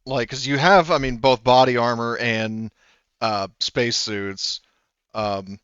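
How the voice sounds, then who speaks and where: A man running a tabletop roleplaying game as game master, talking in a halting way with many pauses and restarts. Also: medium pitch, steady tone, fairly bright tone, clear, resonant tone, no echo, lo-fi sound. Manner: halting, lots of ums and restarts.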